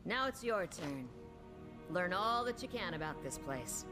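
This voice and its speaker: A woman playing a seasoned, self-assured field researcher in a video game cutscene, calm, probing and matter-of-fact. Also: lowish voice, unhurried. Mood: thoughtful, brisk. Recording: game cutscene with loud background music.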